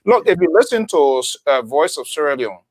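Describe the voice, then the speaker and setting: A man hosting a radio panel discussion, talking in an emphatic, animated way. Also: on the high side, normal speed, no echo, rough recording.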